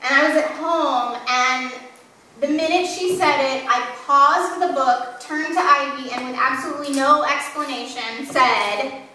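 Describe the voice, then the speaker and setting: A woman's voice: sits high, measured pace, sharp tone, slight background noise. A woman pastor giving a sermon in a church, talking in a candid, self-deprecating storytelling way. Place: church sanctuary. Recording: very echoey church from a distance.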